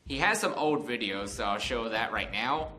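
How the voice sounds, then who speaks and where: A man's voice: mid-range voice, lively intonation, moderate pace, over music. An American man in his twenties telling a casual, unscripted story in a rambling, conversational way. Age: 20s. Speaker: vlog host.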